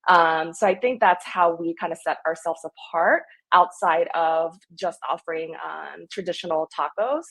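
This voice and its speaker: An enthusiastic woman in her thirties, originally from Korea, chatting as a guest on a local podcast. Her voice sits a little low for a woman, and she sounds upbeat and eager as she talks through her answers. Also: moderate pace, few pauses, a bit bright. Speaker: restaurant owner guest. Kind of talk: casual podcast interview.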